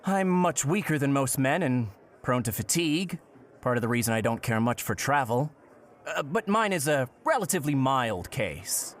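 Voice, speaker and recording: An actor playing a courteous, curious, old-fashioned gentleman traveler in a period audio drama, with polite and playfully florid speech. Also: medium pitch, sing-song, moderate pace, natural voice. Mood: gentle, frank.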